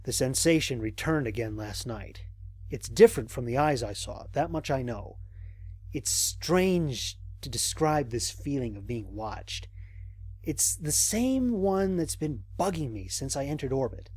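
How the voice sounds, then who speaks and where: An actor playing a cautious, observant male space explorer, recording mission logs in a measured, reflective way for an audio drama. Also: medium pitch, lively intonation, darkish tone. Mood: uneasy, pensive. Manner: measured, reflective, formal diction with deliberate pauses. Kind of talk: scripted audio drama monologue.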